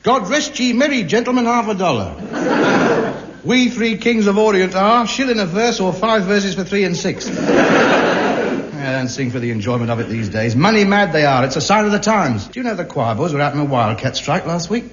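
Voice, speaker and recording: A pompous, self-deluding man with a high voice holds forth grandly. His indignant bluster comes through a muffled old broadcast with steady hiss. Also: normal speed. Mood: grumbling. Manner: grandiose, indignant comic delivery. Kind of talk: 1950s radio sitcom acting.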